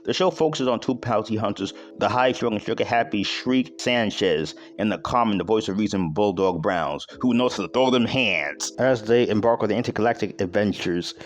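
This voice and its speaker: Low-voiced man, emphatic video essayist. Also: normal speed, hardly any pauses. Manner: informal, slangy, emphatic delivery. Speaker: host. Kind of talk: enthusiastic video essay commentary.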